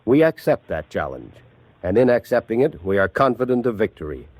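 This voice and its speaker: An actor playing a firm, confident Navy Secretary delivering a formal wartime address. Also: male voice, lowish voice, big pitch swings, fairly quick, a little mellow, dry recording. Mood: resolute. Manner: firm, declarative.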